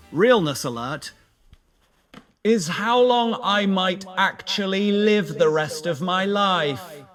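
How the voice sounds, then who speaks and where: Wry British man, lively swooping pitch. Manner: wry, playful, self-deprecating asides. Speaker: YouTube host. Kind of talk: scripted video essay narration, conversational.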